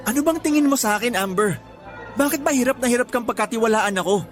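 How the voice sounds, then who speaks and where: An actor playing a defensive young boyfriend in a radio drama, flustered and protesting insistently. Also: male voice, very high-pitched, fast talker, soft background music. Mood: shocked, indignant. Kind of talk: Tagalog radio drama acting.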